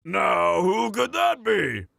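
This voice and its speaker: A man voicing a gruff, boastful male dwarf who breaks into loud outbursts. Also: high voice, big pitch swings, slow, dry recording, studio quality, exaggerated voice. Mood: suspicious. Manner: bombastic, gravelly.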